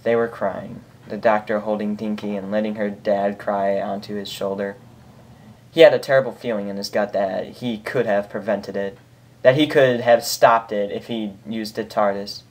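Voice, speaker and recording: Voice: sits low, fairly even intonation, normal speed. An amateur reader and host narrating fan fiction in an informal, emotive way. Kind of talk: amateur story narration with casual reaction.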